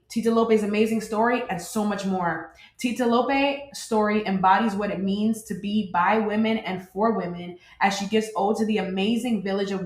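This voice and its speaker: A woman hosting a podcast interview, talking with enthusiasm and praising her guest. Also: medium pitch. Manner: enthusiastic, upbeat. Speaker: podcast host. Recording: roomy echo.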